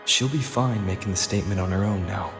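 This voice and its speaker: A man voicing a calm, measured narrator in a video game's scripted story, in a very echoey recording. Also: low voice, gravelly. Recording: echoey long reverb, music behind.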